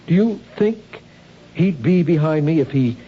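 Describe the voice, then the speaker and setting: An actor playing a patient, concerned husband in a 1970s radio drama, earnest and gently reassuring. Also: male voice, on the high side, expressive, measured pace. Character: concerned, patient husband, a city hall official. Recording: dull, lightly noisy old radio recording.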